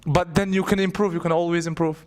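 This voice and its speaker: A man in his twenties, an English teacher answering students' questions in class, lively and repeating words as he thinks. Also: sits high, fast.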